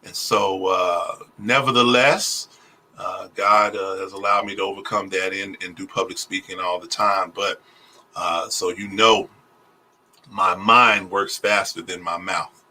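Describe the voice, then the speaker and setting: A man who is a pastor and a tax preparer, giving a casual livestream talk on taxes. He speaks slowly and deliberately, with many "uh" fillers. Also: low voice, steady tone, sharp tone, slight background noise. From Oklahoma, US. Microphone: phone.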